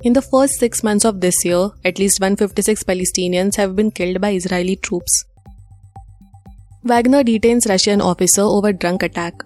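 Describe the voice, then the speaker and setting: A woman's voice: mid-range voice, normal speed, a little mellow. Indian-accented woman reading news over music. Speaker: news presenter.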